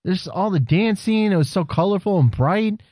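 A man's voice: sits high, animated, moderate pace, a little mellow, dry recording, clean studio sound. A man co-hosting a casual wrestling chat, joking and rambling, swearing freely. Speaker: podcast co-host.

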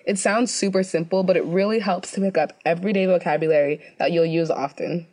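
A young woman with a slightly low voice co-hosts a casual talk video. She tells stories in a loose, chatty way, leaning on "like" and "you know". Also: steady tone, brisk, darkish tone. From United States. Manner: chatty, filler-heavy storytelling with many "like"s. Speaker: co-host.

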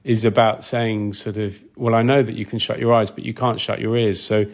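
Low-voiced man explaining his craft haltingly. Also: level tone. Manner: hesitant, thoughtful, halting with repeated words. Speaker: film sound designer, interview guest. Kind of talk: conversational interview explanation.

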